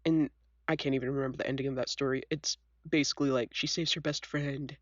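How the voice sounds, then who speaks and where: A woman's voice: very deep voice, steady tone, fast talker, no echo, highs cut off. A young woman with a scratchy voice, stuffed up from a cold, chatting casually and self-deprecatingly as she tells stories as a host. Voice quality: scratchy, congested. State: sick with a cold, sniffly. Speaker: video host. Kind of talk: casual chat, storytelling.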